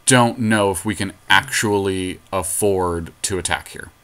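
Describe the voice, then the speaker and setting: A man's voice: deep voice, unhurried, pushed and bright, slight background noise. A man chatting casually as he thinks aloud through a card game, analytical and loose with his language. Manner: analytical, talks through each play, casual swearing. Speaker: podcast co-host playing a card game.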